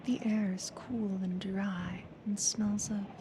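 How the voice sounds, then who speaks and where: A woman playing a calm, quietly controlling therapist in a slow, breathy, whispered ASMR hypnosis roleplay, soothing yet ominous. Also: mid-range voice, background noise, natural voice. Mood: hushed, eerie.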